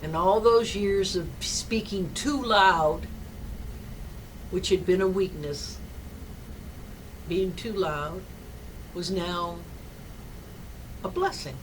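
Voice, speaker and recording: An older woman, a chaplain, giving a rambling devotional talk in a low-pitched, loud and projecting voice. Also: slow pace, pauses often, distant mic, a little hiss or hum, poor audio. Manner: loud and forceful, rambling personal stories.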